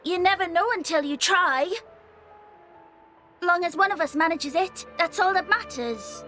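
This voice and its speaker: A woman's voice: extremely high pitch, unhurried, bright, forceful tone, faint music behind, exaggerated voice. A woman voicing a defiant, street-tough young boy thief who talks in a clipped way. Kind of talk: video game voice acting.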